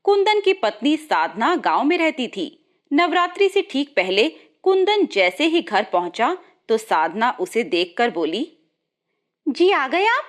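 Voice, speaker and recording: Woman narrating a story, lively high voice. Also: unhurried. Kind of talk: scripted moral-story narration.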